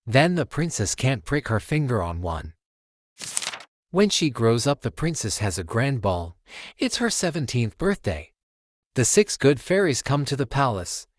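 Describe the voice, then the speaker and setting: A man's voice: mid-range voice, sing-song, measured pace, dry recording. A man hosting a storytime channel, reading a children's picture book aloud as narrator.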